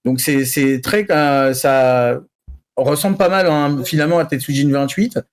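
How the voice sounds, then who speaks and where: A man's voice: mid-range voice, fairly even intonation, unhurried. A man chatting casually and informally, with some slang, as a columnist on a panel show about geek news. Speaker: columnist on an anime and manga show.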